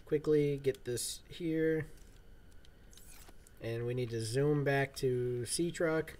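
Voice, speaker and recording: A man's voice: medium pitch, slow, darkish tone. Laid-back man chatting on a game stream. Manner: laid-back, rambling, many fillers. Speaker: livestream gamer. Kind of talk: casual livestream chat while playing. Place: home gaming room.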